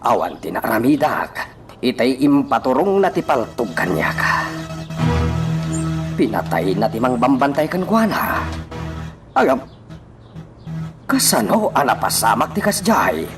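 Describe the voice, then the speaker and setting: A man's voice: medium pitch, very animated, a little slow, long pauses, music in the background. A man with an Ilocano accent playing a vengeful, menacing military commander in a radio drama. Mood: bitter, scheming.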